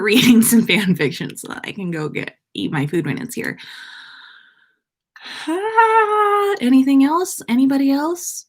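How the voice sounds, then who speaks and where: An American woman hosting a casual livestream, chatting to viewers in a playful, rambling way. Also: medium pitch. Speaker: podcast livestream host.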